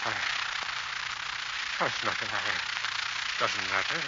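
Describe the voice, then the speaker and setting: An actor playing a nervous, suggestible man on edge, halting and agitated, in melodramatic 1940s radio style. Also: fairly low pitch. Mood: distraught. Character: nervous, suggestible male client sliding into panic. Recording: muffled, noisy 1940s radio recording.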